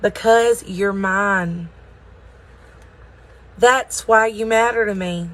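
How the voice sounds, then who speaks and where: A woman voicing a kindly, fatherly bearded craftsman in a storybook, speaking gently and thoughtfully. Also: medium pitch. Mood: gentle, firm. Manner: thoughtful, slow, gentle, reassuring.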